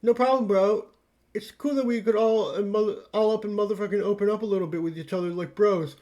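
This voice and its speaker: A man's voice: high-pitched, steady tone, fast, dark tone, close to an everyday voice. A man voicing a laid-back clownish troll bro with a slangy, meandering, sweary way of talking. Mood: friendly, supportive.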